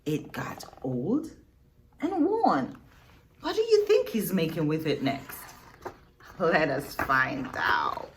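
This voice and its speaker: Animated, unhurried woman reading a storybook. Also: low-pitched, a little hiss or hum. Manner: expressive, teacherly, with dramatic pauses and emphasis. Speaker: storyteller reading a picture book to children. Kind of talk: read-aloud storytime with questions to young listeners.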